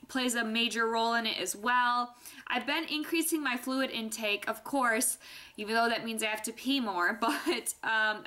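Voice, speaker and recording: A woman's voice: fairly high pitch, bright, forceful tone. A young woman chatting candidly to her viewers in a casual vlog, a little stuffy-nosed. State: stuffy nose. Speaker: vlogger. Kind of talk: casual pregnancy vlog chat.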